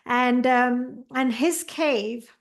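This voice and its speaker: A woman's voice: fairly high pitch, very slow, gravelly. A woman who is an author and meditation coach, talking as a guest on a podcast in an enthusiastic, meandering way. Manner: enthusiastic, many fillers and restarts. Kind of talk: interview chat.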